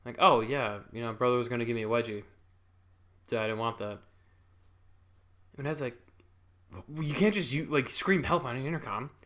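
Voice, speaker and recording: A man's voice: mid-range voice, fairly quick, pauses often, a bit bright. A young man casually telling a childhood story, rambling and interrupting himself with chuckles.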